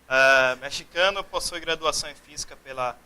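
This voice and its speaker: A man acting as host, reading a formal introduction of a guest speaker in a brisk, formal manner. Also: a little high, sharp tone.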